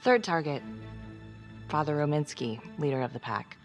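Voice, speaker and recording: A woman's voice: deep voice, lively intonation, moderate pace. A woman acting a weary, guarded and tough homicide detective in a crime game, speaking bluntly in a naturalistic style. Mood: grim, matter-of-fact. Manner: blunt, swearing, world-weary. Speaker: video game actor. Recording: music under the dialogue. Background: background music.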